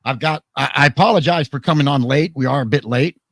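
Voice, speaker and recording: A man hosting a live radio talk program, his voice worn hoarse, chatting casually and jokingly with many restarts. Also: mid-range voice, quick pace, fairly bright tone, no echo.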